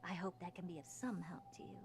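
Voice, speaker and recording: A woman's voice: fairly low pitch, steady tone, fast, background noise, natural voice. A woman voicing a haughty, sardonic young female genius scientist in a video game, with a condescending, theatrical manner. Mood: dismissive, impatient.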